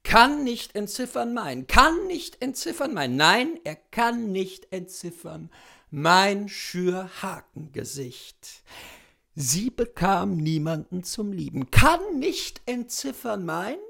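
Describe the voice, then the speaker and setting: A woman's voice: medium pitch, sing-song, slow, gravelly, a bit bright. A woman gives a mock-serious, declamatory dramatic recitation of lyrics as poetry, drawing out her words. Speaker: reader of literary texts. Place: indoors, room with bookshelves.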